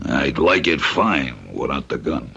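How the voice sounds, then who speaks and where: An actor playing a wary, wisecracking young crook in old-time radio drama, with sardonic streetwise gangster talk and a hard-edged voice. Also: male voice, rumbling low, very animated, a little slow, gravelly, sharp tone, slightly rough, exaggerated voice. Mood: wary, wry. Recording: dull old radio recording.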